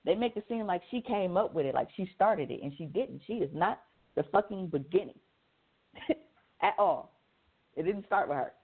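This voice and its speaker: Female co-host, slightly low voice, casual, insistent and profane, narrow phone-like audio. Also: lively intonation, normal speed, long pauses, breathy edge. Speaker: radio show co-host. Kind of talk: casual opinionated talk.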